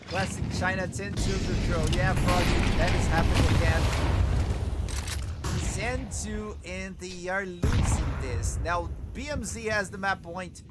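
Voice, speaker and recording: A man from Brazil with a Brazilian Portuguese accent giving excitable live commentary on a shooter match, scolding players and chatting with viewers. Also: high-pitched, measured pace, very noisy. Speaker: esports streamer commentator. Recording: loud gunfire game audio behind. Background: game gunfire and clicks.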